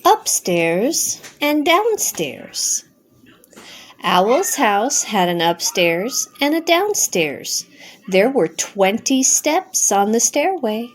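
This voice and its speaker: A middle-aged or older woman, a grandmother, narrating a children's storybook aloud with simple, expressive phrasing. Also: a little high, slow pace. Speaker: grandmother reading a bedtime story.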